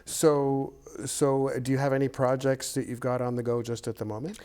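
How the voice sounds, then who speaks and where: A woman's voice: a bass voice, steady tone, moderate pace, mellow. A woman hosting a TV interview, asking plain questions and giving short encouraging responses. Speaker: interviewer, host.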